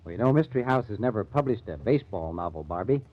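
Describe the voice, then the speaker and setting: A man playing a breezy, joking boss who talks quickly and with lots of lift. It is old-time radio banter, muffled and slightly noisy. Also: lowish voice, expressive, soft background music, lightly acted. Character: brisk, joking male boss of a mystery publishing house.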